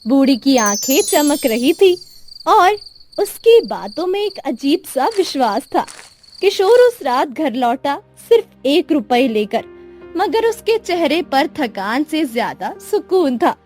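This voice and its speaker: High-pitched woman storyteller, very slow. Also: very animated, a little mellow. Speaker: storyteller narrator. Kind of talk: scripted moral story narration. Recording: music behind the voice.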